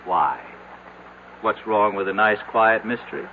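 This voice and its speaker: An actor playing a folksy small-town doctor in an old-time radio drama, teasing with drawn-out questions and wry remarks. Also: male voice, on the low side. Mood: wry, needling. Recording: tinny, noisy vintage radio recording.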